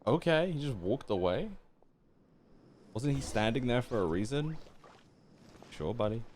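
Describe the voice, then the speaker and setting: A man's voice: mid-range voice, sing-song, unhurried, a little mellow, a little hiss or hum. A man giving casual, slangy, laid-back live commentary as a game streamer. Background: game music.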